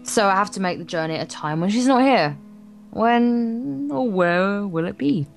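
A woman's voice: medium pitch, animated, normal speed, creaky. A woman co-hosting a let's play, reading a visual novel aloud with playful, casual banter. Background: soft guitar music.

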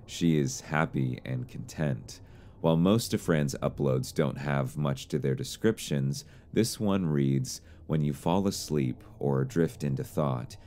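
Male narrator, extremely low and mellow, measured explainer read over noticeable background noise. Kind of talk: scripted analytical narration.

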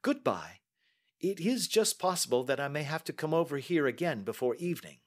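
A man's voice: a little high, big pitch swings, a little fast, pitched above the performer's own voice. A man reading aloud and voicing a middle-aged male detective in a precise, formal, assured manner.

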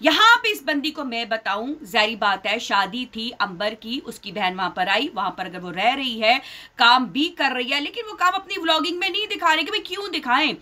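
A middle-aged woman vlogger delivers an indignant, scolding commentary straight to her webcam. Her voice sits high and sounds bright and sharp. She hammers her points home by repeating phrases. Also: animated, moderate pace. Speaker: reaction vlogger. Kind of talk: heated commentary to camera.

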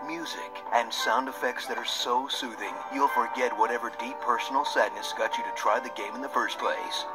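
A man's voice: medium pitch, rough recording. A man narrating a scripted parody game trailer in a mock-dramatic, sardonic announcer style, played back through a screen's speaker. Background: loud music.

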